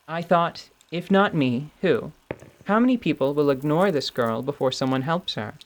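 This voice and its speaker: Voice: mid-range voice, close to an everyday voice. An actor playing a blunt, sardonic but idealistic adventurer in a fantasy radio drama, with a wry manner. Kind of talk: radio-theatre fantasy role-play acting.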